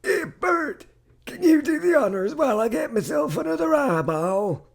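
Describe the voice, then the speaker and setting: A man voicing gruff male zombie delivery workers with a Northern English accent, blunt and chatty. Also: extremely high pitch, sing-song, moderate pace, pitched above the performer's own voice, heavily acted. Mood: jolly. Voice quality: husky, blunt working-class banter.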